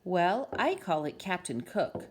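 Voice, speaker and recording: A woman's voice: fairly low pitch, very animated, takes it slowly, slightly rough, full character voice. A woman voicing a polite, proud man in a storybook, explaining things mildly. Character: polite, proud man, Mister Popper, owner of a penguin.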